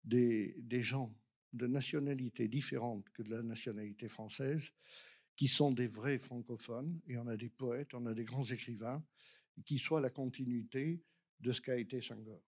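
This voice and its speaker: A man's voice: medium pitch, normal speed, husky. A man giving an emphatic public talk to an audience in a library auditorium. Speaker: round table speaker.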